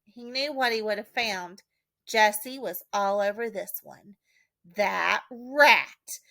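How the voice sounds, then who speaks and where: A woman reading a children's storybook expressively, with dramatic, deliberate emphasis. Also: medium pitch, a bit bright, no room sound. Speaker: audiobook reader, teacher.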